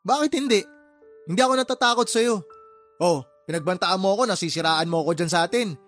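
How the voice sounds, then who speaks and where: A man's voice: high voice, expressive, fast, faint music behind. An actor playing a fed-up, hardworking man who indignantly scolds his older sister in a radio drama. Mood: angry, defiant. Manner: indignant, forceful, emotionally confrontational.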